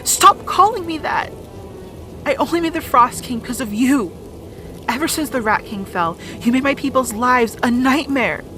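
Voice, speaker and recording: A woman's voice: high-pitched, animated, moderate pace, music in the background. A woman playing an earnest, wounded young princess in a fantasy audio drama, speaking with pleading emotion. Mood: hurt, angry.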